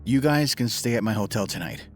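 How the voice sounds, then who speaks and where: A fairly low-voiced, cautious investigator acted by a man, fussy with his companions. It is audio drama, with faint music behind. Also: lively intonation, fairly quick, lightly acted. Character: fussy, cautious older-than-his-friend male investigator.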